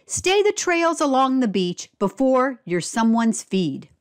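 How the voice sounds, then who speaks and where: A woman's voice: sits high, big pitch swings, slow pace, full character voice. A woman voicing a grumpy, sleepy seagull with a snappy manner in a children's storybook. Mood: irritated. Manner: snappy, irritable.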